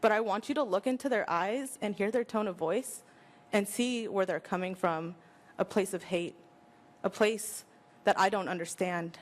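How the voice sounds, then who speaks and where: A woman's voice: mid-range voice, moderate pace. A woman giving a public comment as an activist, making an appeal to a meeting.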